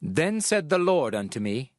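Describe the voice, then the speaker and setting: A man's voice: on the high side, very animated, unhurried, dry recording, studio quality. A man narrating scripture in a dramatized style, with a solemn, declamatory delivery as a prophet. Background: music.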